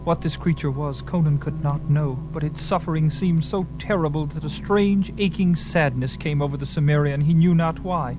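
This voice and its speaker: Higher-pitched male fantasy narrator. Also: moderate pace, few pauses, poor audio. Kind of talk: solemn scripted fantasy story narration. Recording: music behind the narration.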